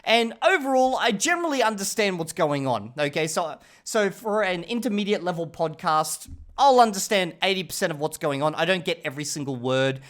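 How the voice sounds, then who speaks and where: Chatty man with a raised pitch. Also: lively intonation, brisk, fairly bright tone. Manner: rambling and chatty, often checking in with "okay?". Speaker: channel host reviewing his language learning. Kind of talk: casual talking-head review.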